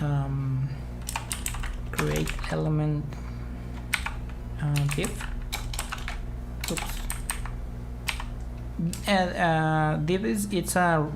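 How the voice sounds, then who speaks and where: A woman from Mexico whose first language is Spanish, speaking English with a Mexican Spanish accent as she live-codes and thinks aloud, halting as she works. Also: low-pitched, vocal fry, background noise. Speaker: live-coding streamer. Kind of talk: live coding tutorial. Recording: keyboard typing in background.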